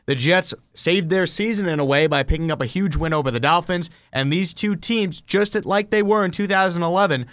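A man's voice: high-pitched, fairly quick, few pauses, a bit bright, no room sound. An American man hosting a sports talk show, giving fluent, emphatic football analysis. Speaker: sports podcast host.

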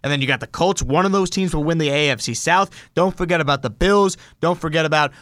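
A male American sports podcaster with a slightly high voice talks fluently and emphatically about football. The sound is very dry and close, in a sports talk style. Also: fast, fairly bright tone, no echo.

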